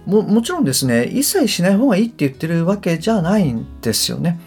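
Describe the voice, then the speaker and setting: A man's voice: high-pitched, sing-song, fast, darkish tone, soft background music. A middle-aged man talking casually and reflectively on his own podcast, often phrasing his thoughts tentatively. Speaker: podcast host and English coach. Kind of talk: casual solo podcast talk.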